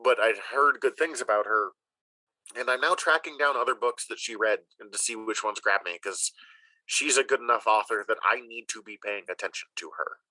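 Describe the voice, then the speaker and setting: A man's voice: medium pitch, normal speed, sharp tone, no echo, low-quality recording. A man hosting a podcast, casually and enthusiastically recommending things, with some swearing. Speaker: podcast host. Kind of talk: casual chat, giving recommendations.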